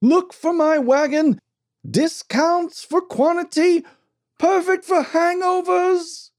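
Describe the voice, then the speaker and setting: Pompous snake oil doc, way up high and loud, drags every word out. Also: male voice, very animated, no room sound, studio quality. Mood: bellowing. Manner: grandiose, drawn-out oratory. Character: pompous bellowing middle-aged male snake-oil doctor.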